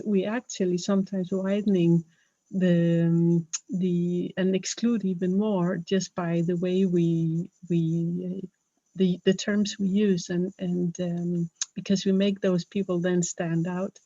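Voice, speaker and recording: A woman's voice: lowish voice, steady tone, takes it slowly, warm tone, no room sound, muffled recording. A woman from Denmark with a Danish accent, speaking English as a second language in a webinar talk, earnest and hesitant, with many fillers and restarts. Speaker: webinar speaker, health professional.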